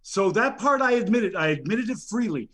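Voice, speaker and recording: A man's voice: sits high, expressive, moderate pace, fairly bright tone, breathy edge. A man ranting in an improvised comedy bit, indignant and rambling, stammering through many fillers. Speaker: nominee on a comedy show.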